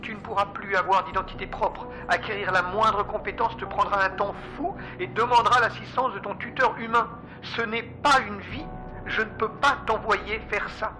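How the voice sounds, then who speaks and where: A woman's voice: mid-range voice, steady tone, normal speed, natural voice, rough recording. A woman playing an earnest, stubborn male robotics scientist in an audio drama, insistent and urgent. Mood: pleading, distressed. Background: music.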